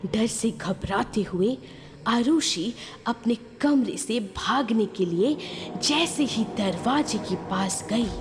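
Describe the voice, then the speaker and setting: A woman narrating a horror story dramatically, building suspense with phrases broken by pauses. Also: on the high side, lively intonation, noisy background. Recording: long reverb, clear music behind.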